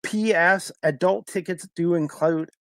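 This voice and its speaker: A man's voice: high voice, a little slow, no room sound, studio quality. A man hosting a sports talk show in a casual announcing style.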